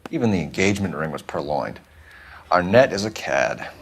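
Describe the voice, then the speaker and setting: An actor playing an earnest, brisk American police detective in a 1940s crime video game, formal and clipped. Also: male voice, sits low, big pitch swings, gravelly, a little hiss or hum, heavily acted. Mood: formal, probing.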